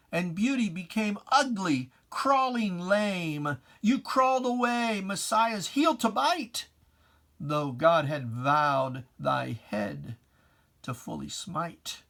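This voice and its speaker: A man's voice: fairly high pitch, very animated, slow, creaky, a bit bright. A man giving a dramatic reading of religious verse in an emphatic, declamatory, theatrical manner. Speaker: poetry reader.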